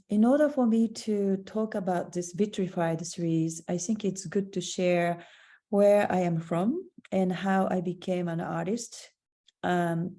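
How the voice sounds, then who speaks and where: A Japanese-born woman with a Japanese accent, an artist giving a halting online slideshow presentation over a video call. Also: lowish voice, vocal fry, mellow. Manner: halting, searching for words. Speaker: artist presenting her work.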